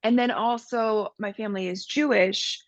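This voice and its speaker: A woman's voice: medium pitch, steady tone, normal speed, dry recording, lo-fi sound. A woman from Miami, a podcast guest, speaking earnestly and candidly with frequent fillers. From Miami, USA. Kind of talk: personal interview talk.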